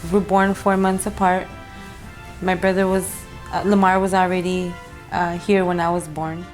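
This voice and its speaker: A woman's voice: lowish voice, level tone, normal speed. A woman giving short, simple statements about her brother in an interview. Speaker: interviewee, sister. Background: soft music.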